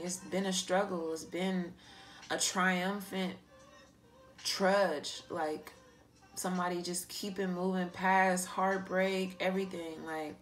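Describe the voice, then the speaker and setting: A woman giving a casual, improvised card reading to an online audience, talking in an animated, slangy way and swearing freely. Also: fairly low pitch. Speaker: tarot card reader, online host. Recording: music playing behind the voice. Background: pop and R&B songs playing.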